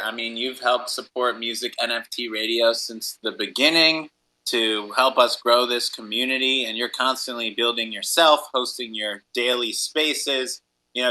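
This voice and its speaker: A man's voice: medium pitch, pushed and bright, rough recording. A man hosting a podcast in an enthusiastic, casual, slangy way, with upbeat delivery. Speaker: podcast host.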